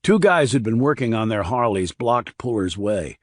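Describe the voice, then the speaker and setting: A man's voice: on the low side, big pitch swings, moderate pace, dry recording, studio quality. A man reading scripted crime thriller narration as an audiobook narrator.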